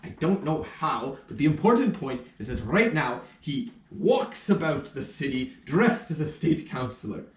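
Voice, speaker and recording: A pompous little official from a puppet play, acted by a man, flustered and indignant. His voice sits high and leaps about theatrically, with stammers of wounded self-importance. It is heard from a distance in an echoing room. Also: very animated, moderate pace, few pauses. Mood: urgent pleading. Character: flustered, pompous minor official who lost his nose. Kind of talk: theatrical puppet show acting. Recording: roomy, distant rehearsal room recording.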